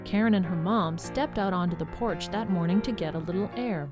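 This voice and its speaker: A woman's voice: fairly low pitch, brisk. A woman narrator reading scripted storybook narration for an audio drama. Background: music behind the voice.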